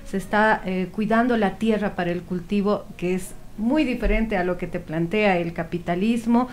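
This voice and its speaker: A woman's voice: medium pitch, normal speed, slight background noise. A young woman interviewing guests conversationally as a radio host. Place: small radio studio with several people. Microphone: studio microphone on boom arm.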